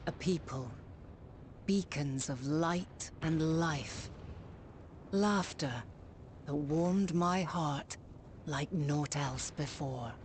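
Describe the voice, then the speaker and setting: A woman playing a solemn, compassionate ancient goddess in a fantasy video game, speaking in a grave, poetic and measured way. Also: sits low, a little mellow, a little hiss or hum. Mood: tender, wistful. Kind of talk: scripted dramatic monologue in a fantasy video game. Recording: voice over prominent music.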